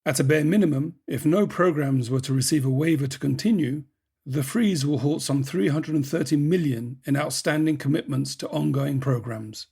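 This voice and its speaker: A man hosting a podcast, reading scripted narration in a measured way and putting questions to a guest. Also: on the high side, creaky, dark tone, slightly rough. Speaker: podcast host and editor.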